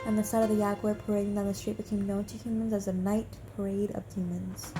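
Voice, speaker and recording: A woman's voice: mid-range voice, flat delivery, fast talker, poor audio. A woman narrator reading a scripted game story aloud. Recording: loud music behind. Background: loud music.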